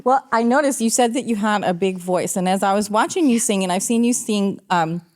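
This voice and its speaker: A woman hosting a podcast in a small recording studio, friendly and quick to laugh. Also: mid-range voice, darkish tone. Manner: friendly, affirming, giggly. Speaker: podcast host. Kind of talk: casual interview chat.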